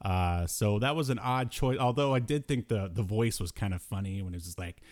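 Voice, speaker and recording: Man, lowish voice, podcast co-host, casual review chat, rambling, slangy. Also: fairly quick, darkish tone.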